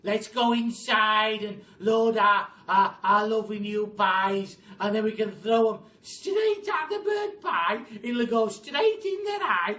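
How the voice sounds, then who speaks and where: A man's voice: sits high, expressive, slow pace, hardly any pauses, sharp tone, voice pushed up, full character voice. A man voicing a nasty, gleeful villainous wife in a children's storybook, scornful and cackling. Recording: roomy, distant mic, faint hiss.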